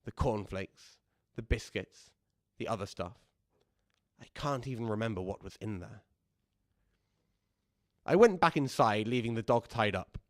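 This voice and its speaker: A man's voice: lowish voice, animated, a little slow, long pauses, dry recording, studio quality. A man playing a callous, aggrieved old widower who rambles pedantically and justifies himself in a confiding radio drama monologue. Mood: pedantic, callous.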